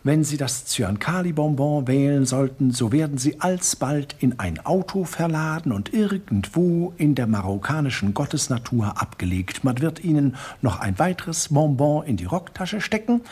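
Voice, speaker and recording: Ein Schauspieler spielt in einem deutschen Hörspiel einen glatten, sadistischen Schurken, der spöttisch und genüsslich spricht. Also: male voice, mid-range voice, animated, moderate pace, hardly any pauses, darkish tone, slightly rough. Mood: mocking. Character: suave sadistic villain, middle-aged man, mocking. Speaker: villain Sir Bogomil. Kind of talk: German radio drama acting.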